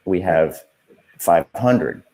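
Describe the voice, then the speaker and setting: A man with a deep, soft-edged voice talks as an adviser in a casual work meeting. He explains in a measured way, qualifying his points as he goes. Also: lively intonation. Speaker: streaming software adviser.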